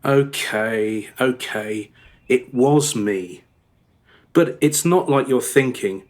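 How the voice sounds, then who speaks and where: A man playing an uneasy, evasive uncle in a radio play, with a halting, reluctant manner. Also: mid-range voice, lightly acted. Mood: confessing.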